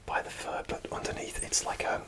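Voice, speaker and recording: A man whispering an ASMR show-and-tell in a soft, unvoiced whisper, hesitant and full of small fillers, partly from inside a costume helmet. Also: a little fast. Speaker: ASMR creator.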